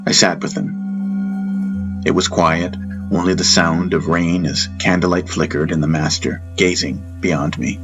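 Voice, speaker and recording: A man narrating a first-person fantasy audiobook in a solemn, measured, reflective manner. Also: sits low, steady tone, dull audio, over music.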